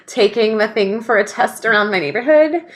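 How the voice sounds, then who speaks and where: A woman's voice: fairly high pitch, moderate pace. A woman in her thirties, a podcast co-host, chatting casually and telling a story with lots of "like" fillers and easy laughs. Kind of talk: casual chat.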